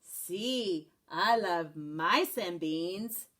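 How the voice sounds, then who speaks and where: A woman teacher voicing an excitable boy kitten who pretends to be a Chihuahua and puts on a mock Spanish accent. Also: on the low side, very slow, voice pushed down, heavily acted. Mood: bold.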